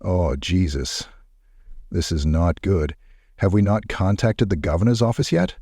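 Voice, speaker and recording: A man's voice: deep voice, animated, measured pace, mellow, husky, exaggerated voice. A man playing a grim, alarmed police chief in an amateur horror drama, grave and clipped in manner. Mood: grave dismay. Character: grim, alarmed male police chief under mounting strain.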